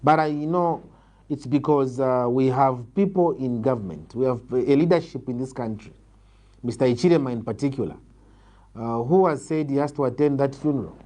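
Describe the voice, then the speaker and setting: A man with a Zambian accent answering political interview questions in a measured, formal, emphatic way. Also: mid-range voice, mellow. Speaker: political interviewee, party leader.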